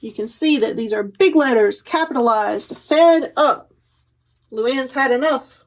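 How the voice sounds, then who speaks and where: Slow, high-voiced woman reading a storybook. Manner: deliberate, dramatic storytelling with playful emphasis. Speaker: children's librarian, storytime host. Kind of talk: expressive picture-book read-aloud for children.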